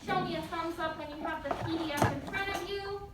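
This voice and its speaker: A woman's voice: extremely high pitch, a little fast. A woman teaching young pupils, encouraging as she leads the class and reads aloud to them. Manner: encouraging, addresses pupils as friends. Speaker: elementary school teacher. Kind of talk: leading a class and reading a story aloud. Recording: very echoey, distant mic, faint noise.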